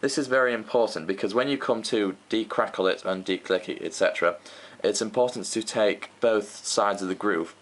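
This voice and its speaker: Low-voiced young man explaining. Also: normal speed, hardly any pauses. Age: young adult. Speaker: host, hobbyist record restorer. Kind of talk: informal tutorial vlog. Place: small room at home, indoors. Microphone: camera microphone. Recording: faint background noise, home recording.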